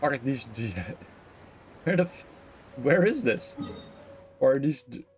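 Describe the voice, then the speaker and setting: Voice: mid-range voice, lively intonation, slow, a little mellow, a little hiss or hum. A game streamer giving casual live commentary, mumbling in a playful, slangy way.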